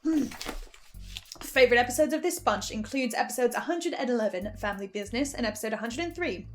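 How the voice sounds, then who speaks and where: A woman with a fairly high voice delivers theory commentary over music. She speaks in a theatrical, emphatic way, as if presenting a case to viewers. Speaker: podcast listener sharing theories. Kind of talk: semi-scripted theory commentary to camera.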